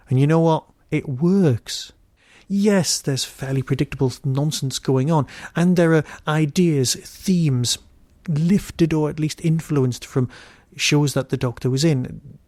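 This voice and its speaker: A man hosting a podcast, giving an informal solo review in a wry, digressive way with emphatic pauses. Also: a little high, dark tone, no echo.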